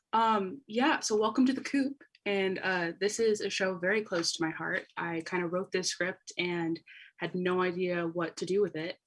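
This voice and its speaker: A woman writer co-hosting a live show with a casual, gushing, informal introduction. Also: fairly low pitch. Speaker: writer and co-host.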